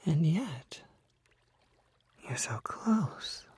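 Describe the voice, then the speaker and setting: A man voicing a charming, unsettling kelpie in an ASMR roleplay, soft and often whispering, coaxing and flattering with teasing false modesty, close to the microphone. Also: fairly high pitch, very slow, breathy edge, no echo, full character voice. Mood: seductive, intimate. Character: charming, unsettling male kelpie, smooth-talking seductive lurer. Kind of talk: ASMR roleplay, intimate one-sided acted dialogue.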